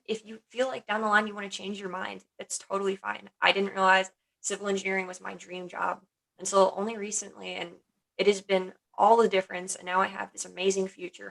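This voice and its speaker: Young woman, student, enthusiastic, crisp bright tone, some vocal fry. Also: medium pitch, rough recording. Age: young adult. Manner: enthusiastic, upbeat. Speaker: engineering student. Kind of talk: panel discussion.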